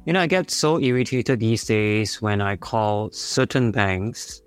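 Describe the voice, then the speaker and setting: A man's voice: fairly low pitch, animated, unhurried, clean tone, faint music behind. A man with a Singaporean accent, speaking as a panelist in a panel discussion and often repeating words as he starts his sentences. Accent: Singaporean English.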